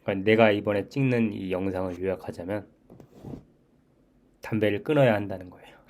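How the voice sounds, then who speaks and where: A man in his forties speaks in a fairly low voice, talking earnestly through a personal story. He circles back to his main points again and again and often stops to restart a phrase. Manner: earnest, repeats key points, frequent restarts. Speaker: channel host. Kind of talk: reflective personal monologue.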